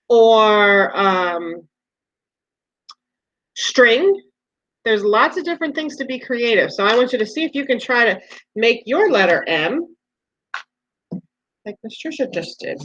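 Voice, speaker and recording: Slow-talking woman teaching young children. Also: mid-range voice, fairly bright tone, highs cut off. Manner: simple, patient step-by-step explaining with repetition. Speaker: preschool teacher.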